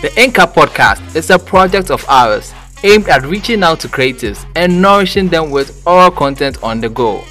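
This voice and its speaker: A man's voice: on the high side, expressive, moderate pace, hardly any pauses, bright, forceful tone. A man reading a scripted promotional introduction for a podcast. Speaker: promo announcer. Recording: music bed under the voice.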